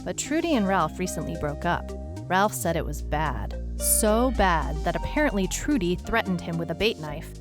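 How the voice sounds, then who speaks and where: A world-weary female private eye with a low voice. She narrates in a scripted noir audio drama style, dry and deadpan, with wry understatement throughout. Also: normal speed, music in the background. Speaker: narrator, lead actor.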